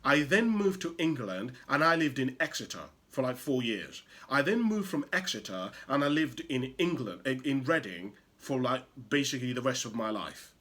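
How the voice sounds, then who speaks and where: A man who grew up in Uganda talks straight to his listeners in an impassioned motivational monologue. His pitch swoops widely as he piles on rhetorical questions, and his delivery shifts from loud emphasis to hushed asides. His voice sits in a middle register. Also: very animated, normal speed, hardly any pauses. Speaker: motivational show host.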